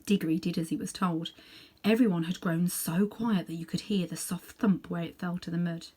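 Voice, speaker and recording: A woman reading a children's book aloud in an animated, dramatic way, sometimes softening toward hushed tones. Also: on the low side, normal speed, slightly rough. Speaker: storybook reader. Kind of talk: expressive read-aloud narration for children.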